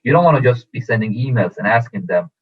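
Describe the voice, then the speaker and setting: A man who grew up in Sweden, a football agent answering interview questions in a measured way with many fillers and restarts. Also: mid-range voice, animated, husky. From Iran, raised in Sweden. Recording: tinny phone-like audio.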